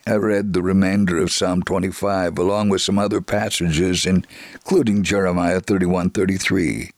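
A man reads a missionary's first-person account in steady, earnest narration. His voice sits low with a gravelly edge. The sound is dry and close to the mic. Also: dry recording. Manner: measured, earnest storytelling. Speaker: reader of a missionary letter.

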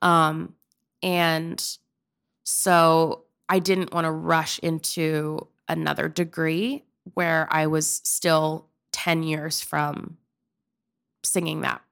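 A woman's voice: low voice, flat delivery, very slow, gravelly, fairly bright tone, clean tone. A young woman from Pennsylvania, a guest in a casual interview, talking in a rambling, chatty way full of filler likes. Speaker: guest, opera-trained improv performer.

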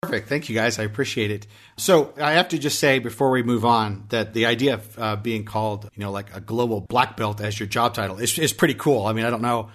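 A man's voice: on the low side, fairly quick, a bit bright. A man hosting a podcast interview, talking in a chatty way with many restarts and hesitations. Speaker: podcast host.

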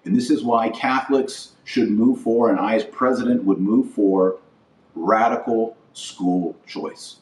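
An American man delivering a political monologue as a podcast host, emphatic and deliberate, stressing his phrases with pauses. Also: on the low side, a little mellow. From United States. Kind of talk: political platform monologue, speech-like. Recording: roomy echo, distant from mic.

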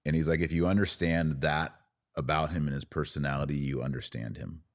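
A man's voice: a bass voice, fairly even intonation, fairly quick. A man in a casual podcast conversation, hosting and talking in a reflective, anecdotal way. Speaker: podcast host.

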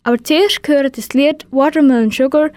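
A woman's voice: high-pitched, fairly even intonation, brisk, a little mellow, dry recording. A teenage girl with a Swiss accent, presenting in a scripted radio announcement style. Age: teens.

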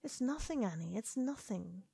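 A woman's voice: mid-range voice, lively intonation, normal speed, vocal fry, warm tone, husky, no room sound. A woman reader voicing a timid, stammering young father in a storybook reading. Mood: ashamed. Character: timid young male clerk, anxious father.

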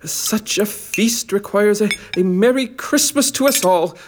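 A man playing a gentle, anxious, devoted father in a radio drama, speaking hesitantly. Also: sits high, unhurried, crowd noise. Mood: earnest. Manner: hesitant, stammering when moved. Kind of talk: family radio drama acting.

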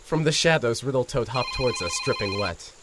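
A man's voice: medium pitch, animated, brisk, background noise, natural voice. A man playing a young reporter who narrates an audio drama in a wry, conversational way.

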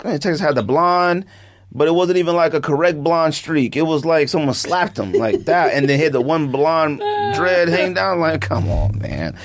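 Animated, slangy man chatting casually. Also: moderate pace. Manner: laid-back, slangy, jokey, pitch jumps when animated. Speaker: podcast co-host. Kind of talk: casual chat.

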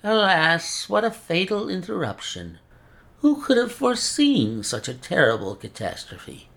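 A man's voice: high-pitched, huge pitch swings, a little slow, vocal fry, a bit bright, pitched above the performer's own voice, full character voice. A man voicing a frail, chain-smoking old male scholar who speaks in a precise, mincing way and laments effusively. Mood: lamenting.

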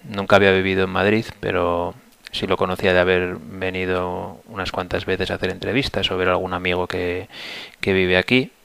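A man hosting a casual solo podcast, hoarse from a flu, talking in a relaxed, rambling way with many fillers and restarts, recorded on a headset mic at his computer. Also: low-pitched, brisk, no room sound. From Asturias, Spain. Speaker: podcast host.

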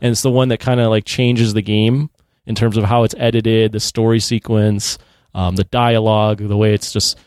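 A man's voice: lowish voice, flat delivery, moderate pace, darkish tone, no room sound, studio quality. A man in his thirties co-hosting a podcast, talking casually about films. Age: 30s. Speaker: podcast co-host. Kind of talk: casual film discussion.

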